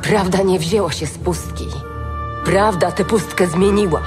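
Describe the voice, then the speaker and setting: A woman's voice: on the low side, measured pace, natural voice. A woman playing a defiant, determined elf warrior with an intense, earnest delivery in a fantasy audio drama. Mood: resolute. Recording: music under the voice.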